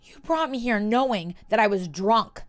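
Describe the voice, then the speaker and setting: A woman voicing a distraught, drunk young witch in a story reading, with shrill, emotional outbursts. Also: animated, normal speed, lightly acted. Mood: furious. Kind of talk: reading a fan story aloud.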